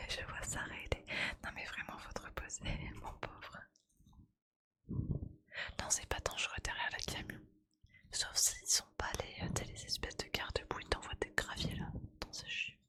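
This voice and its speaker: A woman's voice: measured pace, long pauses, pushed and bright. A woman whispering breathily in a casual, playful ASMR chat, with slangy, colloquial speech as she streams to her viewers.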